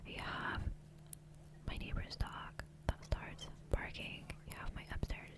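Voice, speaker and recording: Whispering woman, soft ASMR ramble. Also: unhurried. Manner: rambling, hesitant, self-interrupting. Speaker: ASMR gaming video creator. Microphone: close, sensitive USB microphone.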